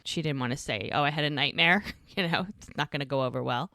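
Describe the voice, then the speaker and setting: A woman's voice: low-pitched, fast talker, no room sound, clean studio sound. A woman co-hosting a podcast, casually recapping a TV episode and acting out the characters' lines. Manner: animated retelling, acts out characters' lines. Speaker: podcast co-host. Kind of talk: casual rewatch recap chat.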